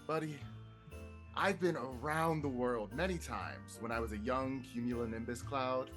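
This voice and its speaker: A man playing a friendly, wistful old animated snowman in a live table read of a comedy film. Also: mid-range voice, moderate pace, over music, natural voice. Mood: fatherly, wistful.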